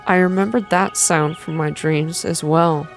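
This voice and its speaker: A woman reading a story game aloud in ASMR style, slow and gentle, with casual asides. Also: deep voice, fairly even intonation, soft background music. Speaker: game streamer reading a visual novel aloud.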